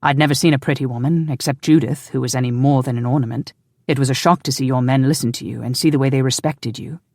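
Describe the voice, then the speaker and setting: Narrator as a stern, protective husband, mid-pitched. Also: fairly even intonation, fast, a little mellow, no room sound, close to an everyday voice. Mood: wry affection. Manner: stern, sardonic, insistent. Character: protective, exasperated husband, commanding yet loving.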